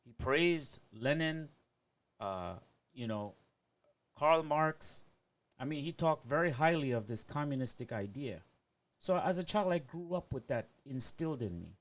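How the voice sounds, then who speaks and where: A man's voice: mid-range voice, lively intonation, measured pace. A man in his forties, from Trinidad and Tobago and Venezuela, rambling through a personal monologue as a podcaster and often ending thoughts with "right?".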